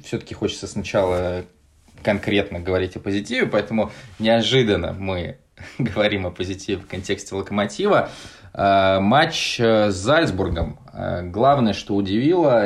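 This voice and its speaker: Deep-voiced man hosting a football podcast. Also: moderate pace. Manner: hesitant, many "э" fillers. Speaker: podcast host. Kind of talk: casual football analysis chat.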